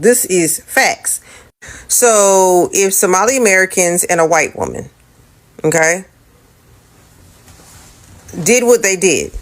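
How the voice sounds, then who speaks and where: A woman giving argumentative commentary in an insistent, lecturing way, tagging points with "okay?". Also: mid-range voice.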